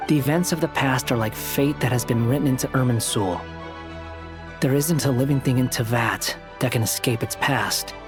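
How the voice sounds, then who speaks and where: A man's voice: medium pitch, normal speed, music in the background, lightly acted. An actor playing a blunt, aloof young man in a fantasy game, with curt, dry, challenging delivery. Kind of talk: scripted game dialogue.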